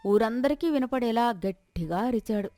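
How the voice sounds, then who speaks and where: A woman's voice: on the high side, expressive, normal speed, dark tone. Woman narrating a moral tale over music. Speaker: storyteller. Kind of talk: scripted moral-story narration.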